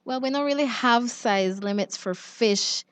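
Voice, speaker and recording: A woman's voice: fairly high pitch, a little slow, clear, resonant tone, dry recording. Woman as studio guest. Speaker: studio guest and quiz judge. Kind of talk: casual explanatory talk.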